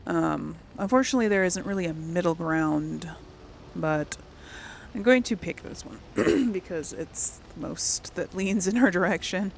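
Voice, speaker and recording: A woman hosting a casual role-play gaming video, musing aloud haltingly and often clearing her throat. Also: lowish voice, slight background noise.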